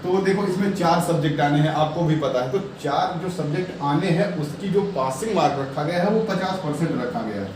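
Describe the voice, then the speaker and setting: A man's voice: a little high, fairly quick, few pauses, darkish tone. A man giving informal exam updates and advice as a coaching teacher, repeating his points in a reassuring way. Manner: repetitive, reassuring coaching talk. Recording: very echoey room, distant mic, noisy.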